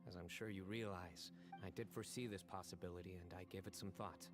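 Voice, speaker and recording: Man playing a low-voiced, composed young general. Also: steady tone, close to an everyday voice. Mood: calm, measured. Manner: measured, formal, precise. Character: composed, thoughtful young male general, reserved and formal. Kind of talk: video game dialogue. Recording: game audio over background music.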